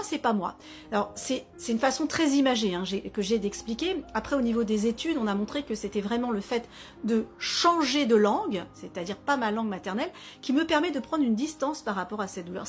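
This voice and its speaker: A woman wellness author talks to camera, explaining her idea in a lively way with examples and asides. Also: fairly high pitch.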